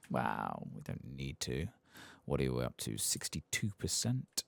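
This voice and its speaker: A man softly murmuring casual ASMR commentary over a video game, close to the microphone. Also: a bass voice, big pitch swings, unhurried, vocal fry, dry recording. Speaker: gameplay streamer.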